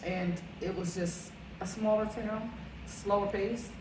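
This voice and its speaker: A woman's voice: medium pitch, fairly even intonation, slow, far from the mic. A woman from Philadelphia, a caterer and realtor, chatting casually as an interview guest and rambling with many fillers. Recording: echoey room, off-mic, noisy.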